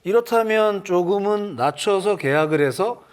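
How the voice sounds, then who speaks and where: Lively high-pitched male baseball analyst. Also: normal speed. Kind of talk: unscripted sports panel analysis.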